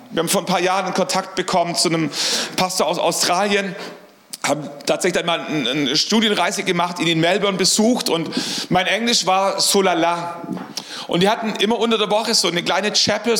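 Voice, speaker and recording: A man's voice: high-pitched, fairly bright tone, roomy sound. A middle-aged man preaching a sermon as pastor, earnest, often repeating words as he searches for the phrasing.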